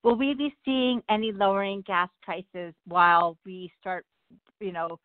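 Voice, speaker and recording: A woman's voice: mid-range voice, steady tone, measured pace. A woman calling in to a town hall, chatty and a bit apologetic, with many fillers. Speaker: caller. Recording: dry, tinny phone line.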